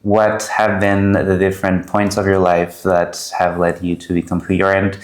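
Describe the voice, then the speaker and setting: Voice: sits low, level tone, normal speed, roomy sound. An interviewer asking long, hesitant questions, joking now and then with the guest.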